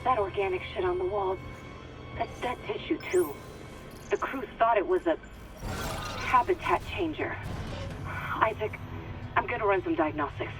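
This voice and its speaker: A woman playing a sharp, profane starship computer specialist in a sci-fi game. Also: medium pitch, moderate pace, long pauses, recorded from a distance, music in the background, natural voice. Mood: tense, disgusted. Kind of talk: naturalistic sci-fi game acting.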